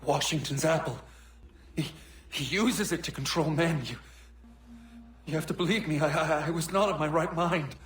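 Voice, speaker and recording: A man's voice: fairly high pitch, normal speed, pauses often, a bit bright, slight background noise, close to an everyday voice. An actor playing a frightened male captive officer in a video game, stammering and pleading for his life. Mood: panicked. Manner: stammering, frantic. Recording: roomy game cutscene audio.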